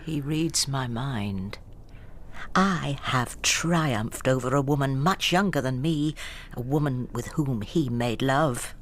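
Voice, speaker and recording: An actress playing a bitter, possessive, menacing old wife in a radio drama, speaking with venomous deliberation. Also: female voice, extremely low pitch, slow pace, heavily acted. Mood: triumphant spite. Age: older.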